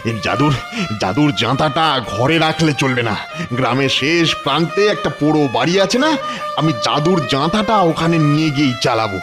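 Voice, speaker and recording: A man's voice: a little high, animated, brisk, music in the background, natural voice. A man voicing a greedy, jealous, scheming village man in a cartoon folk tale, muttering and repeating his words in an exaggerated way. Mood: greedy, gloating.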